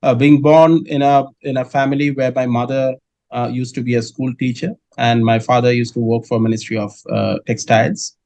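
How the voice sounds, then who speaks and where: A middle-aged man from Kolkata with an Indian English accent, answering interview questions in a measured way with many filler sounds. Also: medium pitch, little pitch movement, creaky, mellow, dry recording, poor audio. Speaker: guest, dairy business head.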